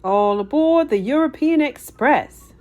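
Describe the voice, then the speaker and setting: Male storybook train owner read by a woman, earnest, formal, explanatory, animated intonation. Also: high-pitched, a little slow, highs cut off, pitched above the performer's own voice. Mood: welcoming.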